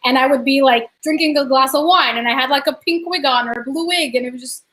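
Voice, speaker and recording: High-voiced chatty young woman. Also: fast talker. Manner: chatty, animated, upbeat. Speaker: co-host, art business owner. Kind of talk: casual video call chat. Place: home room. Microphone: webcam on a video call.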